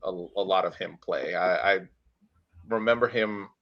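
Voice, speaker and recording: Deep-voiced man, halting casual sports talk. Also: dull audio. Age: 30s. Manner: halting, searching for words. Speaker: podcast host. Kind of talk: casual sports talk, recounting a player's career.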